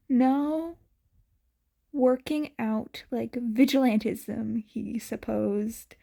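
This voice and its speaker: A woman reading a fanfiction audiobook aloud in a slow, soft, measured manner. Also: sits high, vocal fry. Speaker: podfic reader.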